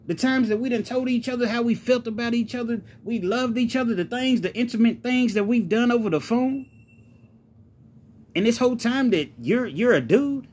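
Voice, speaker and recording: A man's voice: medium pitch, expressive, quick pace, darkish tone, slightly rough. A man playing a hot-tempered, wounded man who got catfished, protesting in repetitive, indignant street talk. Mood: furious, incredulous.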